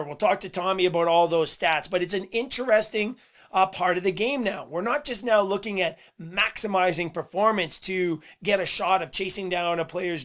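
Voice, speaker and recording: A man hosting a sports podcast in a casual monologue. His voice is a little hoarse and he talks earnestly, with "uh"s and repeated words. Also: sits high, fairly bright tone. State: slightly hoarse voice. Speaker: podcast host.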